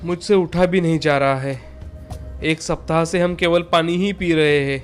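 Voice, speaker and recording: A man's voice: on the high side, steady tone, moderate pace, faint music behind, natural voice. A man voicing an earnest, long-suffering talking bullock in a dramatized children's moral story. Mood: plaintive, weary. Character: earnest, suffering talking male bullock, loyal to his companion.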